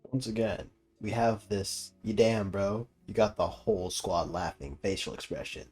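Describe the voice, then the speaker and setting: A man's voice: fairly low pitch, normal speed, a little mellow. A young man giving casual, amused playthrough commentary as a gaming streamer, full of slang and swearing. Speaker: gaming streamer reacting to a game. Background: faint game music.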